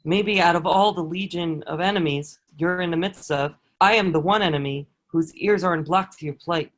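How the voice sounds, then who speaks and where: A man's voice: fairly high pitch, moderate pace, fairly bright tone, lightly acted. A man playing a proud, dutiful enemy soldier who is secretly awed by his captive, in an earnest, formal table read of a historical drama. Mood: earnest, troubled. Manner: earnest, formal archaic phrasing.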